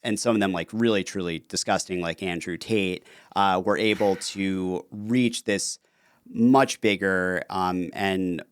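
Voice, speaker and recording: Deep-voiced man talking fast and easy. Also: clean tone, no room sound. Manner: fast, animated talker with many fillers. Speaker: podcast guest, author. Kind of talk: casual interview conversation.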